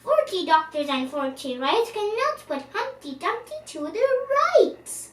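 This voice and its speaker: A cheeky little storybook squirrel acted out by a young girl chants rude riddles in a high, swooping sing-song. The delivery is taunting, and the sound is roomy. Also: very animated, a little slow, heavily acted. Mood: impertinent, teasing. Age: child. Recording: roomy, distant microphone, faint background noise.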